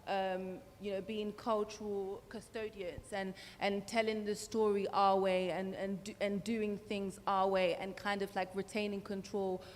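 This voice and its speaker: A woman's voice: mid-range voice, flat delivery, a little slow, few pauses, darkish tone. A woman panelist of Tanzanian origin who grew up in the Netherlands, speaking with hesitant fillers but growing passionate. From Tanzania, raised in the Netherlands. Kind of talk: panel discussion. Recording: long hall reverb, faint noise.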